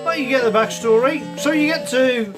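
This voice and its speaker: A man hosting a retro computer show from his hobby room, talking in a chatty, meandering way with lots of ums, his voice croaky. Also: very high-pitched, normal speed. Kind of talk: casual rambling review chat. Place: home hobby room with computers and a soldering bench. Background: chiptune music playing from a computer.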